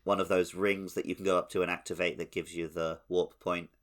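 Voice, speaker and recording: A man's voice: sits low, flat delivery, brisk, a bit bright. A man co-hosting a podcast, talking casually and conversationally about a video game. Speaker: podcast co-host.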